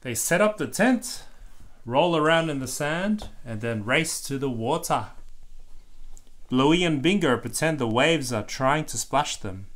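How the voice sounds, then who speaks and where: A man's voice: mid-range voice, expressive, a little slow, a bit bright. A man reading a picture book aloud to a small child, explaining the pictures and asking questions in casual asides.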